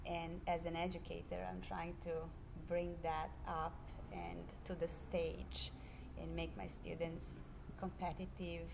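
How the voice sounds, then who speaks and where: A middle-aged woman from Serbia, a high school history teacher, speaking in a measured, earnest way with many pauses in a classroom interview on a handheld mic. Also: little pitch movement, gravelly, background noise. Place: classroom, indoors.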